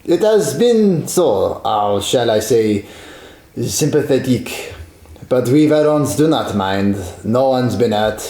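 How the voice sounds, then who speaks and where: Brief: man voicing a gracious young French vicomte, heavy accent, mellow voice, roomy echo. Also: mid-range voice, expressive, takes it slowly. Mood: courteous. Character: proud impoverished French nobleman, young man. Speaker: Vicomte de Verlon. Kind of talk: amateur radio drama.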